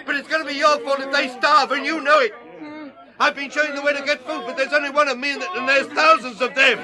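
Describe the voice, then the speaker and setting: Angry rabble rouser in a radio play, really high voice, sarcastic and indignant, big noisy crowd behind. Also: male voice, fairly even intonation, fast. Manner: loud, haranguing, indignant, sarcastic. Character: angry defiant agitator man championing the helpless blind. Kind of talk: radio drama acting.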